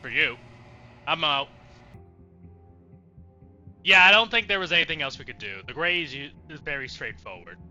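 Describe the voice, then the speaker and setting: A man's voice: on the high side, lively intonation, normal speed, long pauses, pushed and bright, dull audio, faint music behind. A man giving casual, reactive game commentary as a streamer, blunt and exclamatory with plenty of swearing. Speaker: let's play streamer.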